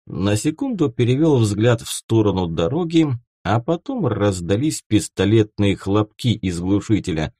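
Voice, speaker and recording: A male audiobook narrator reads fiction expressively in a fairly low, mellow voice. His intonation moves widely from phrase to phrase, and the recording is close and dry. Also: very animated, normal speed, few pauses, slightly rough, dry recording.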